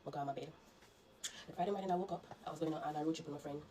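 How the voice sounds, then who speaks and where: A woman's voice: deep voice, very fast, dark tone. A Jamaican woman vlogger, congested and coughing, earnestly recounting her illness to her viewers and warning them. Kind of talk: personal storytelling to viewers.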